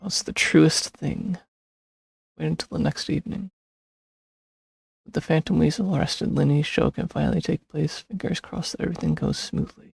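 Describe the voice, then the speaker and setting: A man's voice: on the high side, normal speed, gravelly, breathy edge, dry recording. A man streaming a video game with casual, muttered commentary, sometimes reading text aloud.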